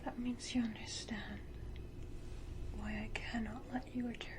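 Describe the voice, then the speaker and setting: A woman voicing a menacing, tenderly cruel vampire matriarch in a slow, breathy, theatrical whisper for an ASMR roleplay. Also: on the high side, level tone, noisy background, lightly acted. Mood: ominous pity.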